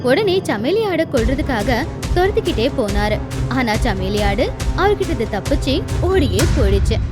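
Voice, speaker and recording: A woman storyteller reading a scripted animal fable as narrator. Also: high voice. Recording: music behind the voice.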